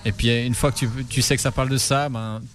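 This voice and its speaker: Guy, band lyricist, stumbles and restarts a lot, middle pitch. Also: fairly even intonation, quick pace, fairly bright tone. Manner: hesitant, many stammered restarts. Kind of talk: casual interview answers.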